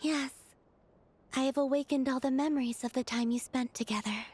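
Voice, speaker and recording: A woman playing a serene, gentle young moon goddess in a video game, speaking softly in a calm, formal, measured way. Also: sits high, breathy edge. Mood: gentle, solemn. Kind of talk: video game character acting.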